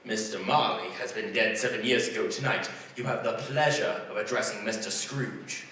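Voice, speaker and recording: A man's voice: fairly low pitch, moderate pace, bright, forceful tone. An actor playing a grumpy, miserly older businessman with a scornful, curt, blustering manner, in a 1940s radio drama style. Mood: cold, dismissive. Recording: roomy echoing stage, distant mic, faint noise.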